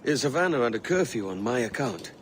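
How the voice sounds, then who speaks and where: A man playing a gruff, resolute pirate captain in a video game cutscene. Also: medium pitch, big pitch swings, a little fast, a little hiss or hum, close to an everyday voice. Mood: terse, resolute.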